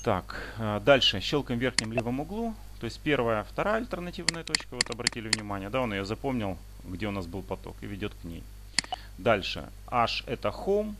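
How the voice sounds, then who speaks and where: Male software instructor, low register, halting step-by-step delivery, noisy home screencast with clicks. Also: very animated. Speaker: instructor demonstrating paragliding flight software. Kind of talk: unscripted screen-recording tutorial.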